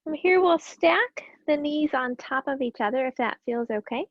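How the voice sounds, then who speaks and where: Raspy-voiced woman calmly guiding yoga. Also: high voice, moderate pace, no echo, lo-fi sound. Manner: calm, gentle cueing with breath. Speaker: yoga teacher. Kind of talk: guided yoga class instruction.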